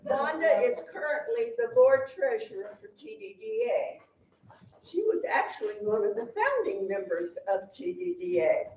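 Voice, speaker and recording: A woman presenting an award at a town meeting, reading prepared remarks in a measured, formal way. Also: on the high side, very animated, a little mellow. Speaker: hall of fame president presenting an award. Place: town hall meeting room. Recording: echoey hall, distant, dull sound.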